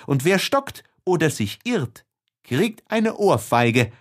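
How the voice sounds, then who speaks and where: A spirited, brave young woman read by a man in a literary audiobook. The delivery is slow-paced, with measured phrases. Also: fairly high pitch, very animated, voice pushed up, full character voice. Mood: playful, commanding. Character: lively, brave young woman leading a parlour game. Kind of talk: audiobook character dialogue.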